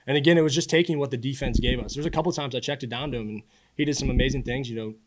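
A man in his early twenties speaks at a quick clip in a mid-range voice. He sounds like a college athlete at a press conference, casual and earnest, often repeating a word as he gathers his thought. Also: medium pitch, crowd noise. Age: early 20s. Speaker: college football quarterback. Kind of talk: postgame press conference answers. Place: indoor press room at a podium. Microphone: podium microphone.

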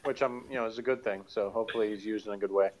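A man's voice: on the low side, steady tone, racing, a little mellow, poor audio. A middle-aged man co-hosting a casual chat from his home office, curious and full of questions, laughing easily. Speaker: podcast co-host.

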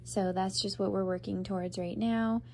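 A woman's voice: mid-range voice, fairly even intonation, normal speed, dark tone, a little hiss or hum. A young woman with a stuffy, congested nose from a cold, chatting through a crochet tutorial in an upbeat, casual way. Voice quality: nasal, congested. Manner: chatty, upbeat, talks over her hands-on work.

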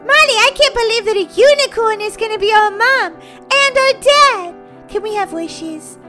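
Woman as very high-pitched excitable little girl. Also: normal speed, faint music behind, full character voice. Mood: excited. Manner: exclamatory, animated. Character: excitable, cheeky, sweet little orphan girl. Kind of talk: children's pretend-play story acting.

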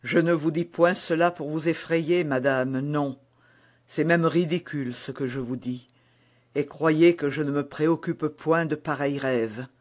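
Man playing a slow-speaking, lovesick duke. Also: a little high, fairly even intonation. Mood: melancholy, tender. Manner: ardent, pleading, grandiloquent. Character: passionate, melancholy young English duke in love. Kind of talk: dramatic audiobook dialogue in a historical romance.

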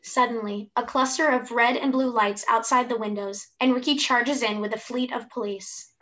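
A woman's voice: a little high, fairly even intonation, normal speed, a bit bright. A young woman reading stage directions as scripted narration for a play over a video call. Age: young adult. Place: room at home. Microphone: computer mic on video call.

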